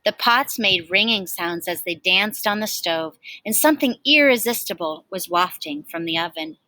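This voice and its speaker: Bright, animated woman reading a storybook. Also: mid-range voice, normal speed, no echo, poor audio. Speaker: teacher reading aloud. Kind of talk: expressive picture-book read-aloud for children.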